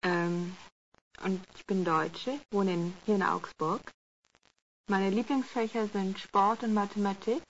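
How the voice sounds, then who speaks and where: A woman's voice: medium pitch, steady tone, slow pace, natural voice. A woman plays a simple self-introduction with small hesitations. Her voice sits in a middle register, on a dull tape with faint hiss. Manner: plain, with hesitation fillers. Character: student, then a schoolteacher. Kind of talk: scripted language-course role-play.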